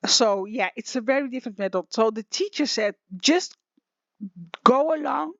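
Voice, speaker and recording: A woman teaching music software in a casual online tutorial, rambling and emotional with many restarts, her voice slightly raspy. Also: a little high, expressive, normal speed, no echo. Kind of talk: casual screen-share tutorial. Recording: dull lo-fi dry sound.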